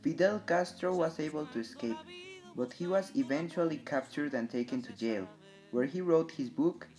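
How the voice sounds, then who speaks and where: Mellow guy w a reading history narration, guitar music behind him. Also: on the high side, animated, moderate pace, rough recording. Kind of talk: scripted narration of a student-style history newsreel. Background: guitar music under the voice.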